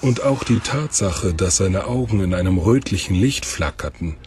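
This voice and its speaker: A man's voice: low-pitched, lively intonation, normal speed, darkish tone, a little hiss or hum. A man reading scripted narration for a fantasy audio story. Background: faint music.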